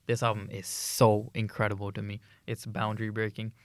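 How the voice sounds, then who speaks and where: A man's voice: fairly low pitch, completely flat delivery, fairly quick, no room sound. A young man co-hosting a student podcast, chatting eagerly about music with frequent restarts and laughs. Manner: enthusiastic, laughs often, many restarts. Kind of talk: casual chat about music.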